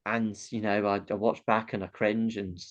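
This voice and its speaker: A man's voice: fairly low pitch, flat delivery, normal speed, muffled recording. A man with a nasal voice, a comedian chatting casually as an interview guest, laughing often and acting out how audiences react.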